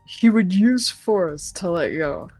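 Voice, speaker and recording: A man's voice: high voice, expressive, slow pace, darkish tone, soft background music. A man narrating his character's moves in a plain roleplay style.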